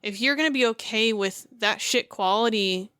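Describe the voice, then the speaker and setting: A woman's voice: mid-range voice, moderate pace, sharp tone, clear, resonant tone, dry recording, clean studio sound. Emphatic rambling woman on a podcast. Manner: emphatic, rambling, acts out imagined dialogue. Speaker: podcast co-host. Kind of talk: casual chat.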